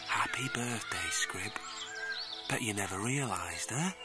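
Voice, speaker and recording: A man's voice: on the low side, measured pace, loud music behind the voice, close to an everyday voice. A man voicing a lost, loving sister in an audiobook, tender and pleading. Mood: wistful, loving.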